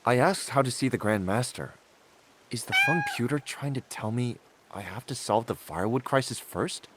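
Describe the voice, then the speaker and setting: A man's voice: mid-range voice, expressive, normal speed, heavy background noise, lightly acted. A man playing a friendly, inquisitive young wanderer hero in a video game, speaking expressively. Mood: worried.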